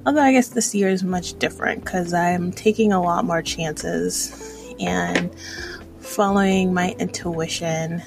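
A woman in her thirties talks casually and introspectively, as in a personal vlog. Her delivery is unhurried and halting, with plenty of vocal fry. Faint music plays along with her voice. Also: lowish voice. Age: 30s. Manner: hesitant, rambling, introspective. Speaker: vlogger.

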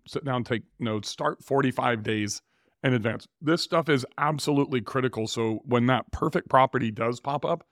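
A man hosting his own show, talking casually and in a rambling, self-correcting way with a slightly raspy, tired voice. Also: medium pitch, dry recording, clean studio sound. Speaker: podcast host. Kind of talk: casual solo talk on real estate finance.

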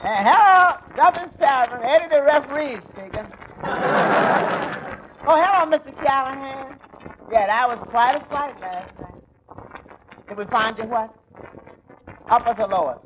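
A very noisy, dull old radio recording carries a folksy waiter. His voice is strikingly high and animated, yet he takes his time, wry in a 1940s comedy manner. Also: unhurried, long pauses. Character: wry, unhurried male tavern waiter.

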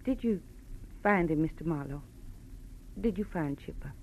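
A woman's voice: sits low, expressive, darkish tone, slight background noise. An actress playing a sweet, brave gray-haired mother, anxious and hesitant, in an old-time radio drama. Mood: anxious, subdued. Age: middle-aged. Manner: hesitant, halting, genteel. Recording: muffled vintage radio recording.

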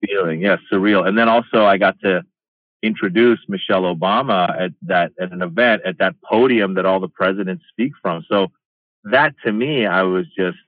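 Brief: middle-aged man from Iran, comedian guest, animated storyteller, tinny phone-like dry audio. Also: low-pitched, normal speed, no room sound. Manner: animated, acts out quoted exchanges. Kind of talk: casual interview storytelling.

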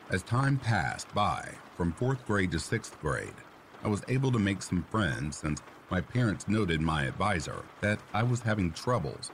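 Deep-voiced man narrating a story. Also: slight background noise. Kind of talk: scripted narration of a reader-submitted story. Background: faint rain sounds.

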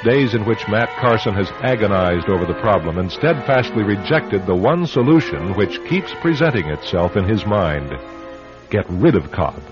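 Ominous, low male radio narrator. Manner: measured, ominous. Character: grave, dramatic narrator of a suspense story. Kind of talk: scripted radio drama narration. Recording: dull lo-fi old radio recording. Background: loud dramatic music.

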